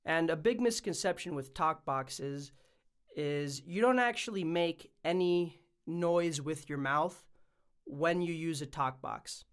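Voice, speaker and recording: A man's voice: a little high, slow pace, clear, resonant tone. A man presenting a casual explainer vlog as a music production YouTuber.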